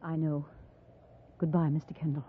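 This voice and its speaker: On a narrow, muffled vintage radio track, a frontier mother. Her voice is on the low side and husky. She speaks plainly and carefully, lonely but quietly brave, in 1950s radio drama style. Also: level tone, moderate pace. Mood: quiet resolve. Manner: careful, plain-spoken, subdued.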